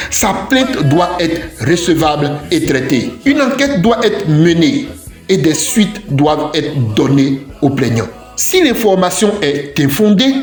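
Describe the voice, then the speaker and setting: A man reads out an opinion piece at a slow, deliberate pace. His delivery is emphatic and declarative, built on repeated phrases. The voice sounds roomy and echoing, with music behind it. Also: fairly high pitch. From Côte d'Ivoire. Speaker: civic commentator. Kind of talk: scripted opinion commentary.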